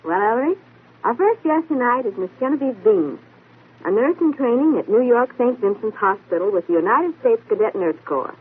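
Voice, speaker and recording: A woman's voice: on the high side, husky, exaggerated voice. A woman acting an inquisitive young female assistant to a detective in a 1940s radio mystery. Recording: tinny old radio broadcast.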